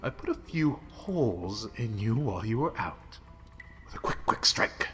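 A man's voice: fairly low pitch, very animated, unhurried, fairly bright tone. Man playing a whispering plague villain. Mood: gloating. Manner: menacing, frequent whispers, sing-song repetition. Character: obsessive, contemptuous male plague-breeding villain. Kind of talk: villain roleplay audio drama. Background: faint music.

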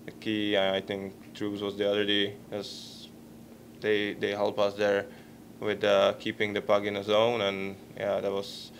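A young man in his twenties with a Czech accent, answering postgame questions at a press table microphone, halting and searching for words. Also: fairly low pitch, completely flat delivery, a little hiss or hum. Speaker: hockey player at a postgame press conference. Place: press conference room.

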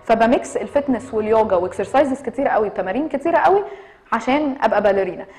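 An Egyptian woman speaks very quickly in a somewhat high voice. She explains herself to camera in an interview, stressing points through repetition. English terms are mixed into her speech. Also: lively intonation, a little mellow. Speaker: dance and fitness studio co-founder, interviewee. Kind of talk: interview talk to camera, mixing in English words. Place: small room indoors.

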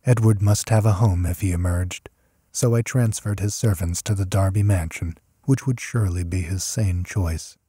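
A man reading a horror story as an audiobook narrator, measured and solemn in his delivery. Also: deep voice, dark tone, breathy edge, no room sound, clean studio sound.

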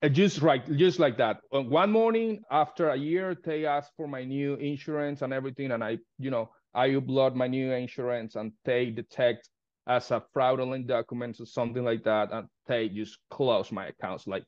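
Spanish accented guy, limo owner, halting and repeating words, dry muffled call audio. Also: medium pitch, darkish tone, no echo. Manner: halting, repeats words, non-native grammar. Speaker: limo business owner, guest. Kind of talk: casual interview on a video call. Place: living room at home. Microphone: phone camera on a video call.

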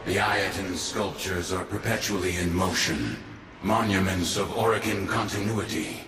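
An actor playing a stern, grave old warrior mentor in a video game, speaking in solemn, cryptic, foreboding lines. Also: male voice, deep voice, steady tone, moderate pace, lightly acted. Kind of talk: scripted video game dialogue. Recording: echoey, distant, with noisy ambience.

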